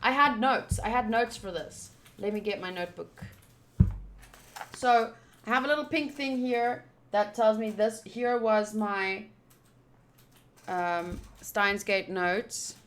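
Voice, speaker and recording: A woman's voice: mid-range voice, slow pace, fairly bright tone. A woman giving casual game playthrough commentary, chatty and musing aloud as she hosts. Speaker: gaming channel host.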